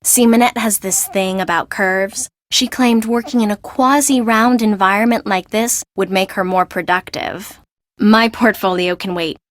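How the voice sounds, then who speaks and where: A woman's voice: medium pitch, steady tone, a little slow, no room sound, close to an everyday voice. A woman playing a chatty young fashion assistant in a video game, confiding and gossipy.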